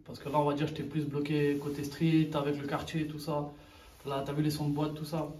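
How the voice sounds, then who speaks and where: A man's voice: on the high side, level tone, fairly quick, dark tone, distant mic. A man in his twenties, a rapper, chatting in slangy street talk and peppering it with filler tags. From Sri Lankan heritage, raised in France. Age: 20s. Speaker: rapper interviewee. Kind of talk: casual street interview. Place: outdoors in a neighborhood. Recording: roomy, from off the mic, faint street noise.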